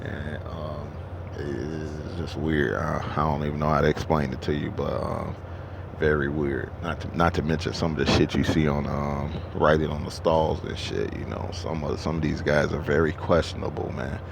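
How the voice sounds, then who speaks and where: Deep gravelly man, 30s, trucker, profane rambling vlog talk, noisy truck stop behind. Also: level tone, normal speed. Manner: rambling and profane, with many restarts and fillers. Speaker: truck driver. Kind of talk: casual monologue to camera.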